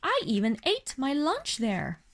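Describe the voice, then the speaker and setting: High, very lively Chinese-accented woman reading aloud. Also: slight background noise. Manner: slow, animated storytelling for a young child. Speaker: parent reading a bedtime story to a child. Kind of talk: expressive read-aloud of a children's picture book.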